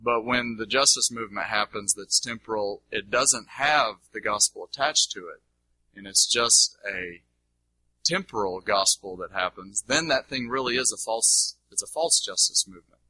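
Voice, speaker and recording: A man's voice: lowish voice, moderate pace, bright, forceful tone, no room sound, rough recording. A man teaching in a question-and-answer session, speaking in an informal, discursive way with rhetorical questions.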